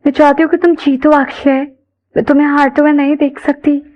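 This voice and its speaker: A woman's voice: high-pitched, little pitch movement, brisk, dull audio, pitched above the performer's own voice, close to an everyday voice. A woman voicing an anxious young woman who pleads urgently and insistently in an audio story. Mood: tearful earnest. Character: anxious young woman passenger urging on a racing driver. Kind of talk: dramatised audio story dialogue.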